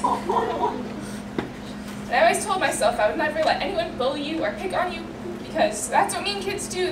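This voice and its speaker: Teenage girl, high voice, heartfelt poetry reading, earnest, echoey room, loud background noise. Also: recorded from a distance. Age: late teens. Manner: earnest and heartfelt, emotional, reads in long run-on lines. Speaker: student poet. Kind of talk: reading her own poem aloud. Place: school poetry reading in a hall.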